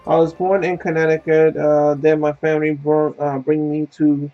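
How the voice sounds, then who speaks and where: A man's voice: a little high, little pitch movement, moderate pace, highs cut off. A man, chatting casually and cursing while streaming a game. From Puerto Rico. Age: 30s. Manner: laid-back, profane, laughing easily. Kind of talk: casual chat while gaming. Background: faint game music.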